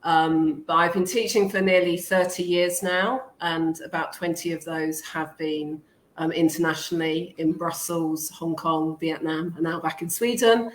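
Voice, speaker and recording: A woman's voice: deep voice, steady tone, unhurried. A woman from England, in her fifties, speaking as an interview guest and teacher, thoughtful and hesitant with many ums. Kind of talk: casual interview talk. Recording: echoey room with faint noise.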